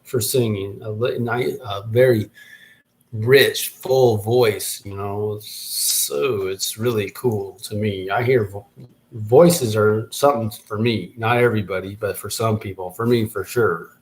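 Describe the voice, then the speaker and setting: A man's voice: fairly low pitch, measured pace. Rambling man giving a casual update. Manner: rambling, thinking aloud with restarts. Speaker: podcast host and rap artist.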